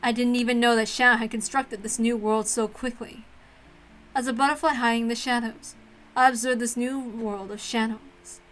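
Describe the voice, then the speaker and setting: A woman voicing a reserved, wistful servant girl who narrates in a soft, measured, formal way. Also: fairly high pitch, steady tone, slight background noise, pitched below the performer's own voice, lightly acted, poor audio. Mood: wistful, restrained.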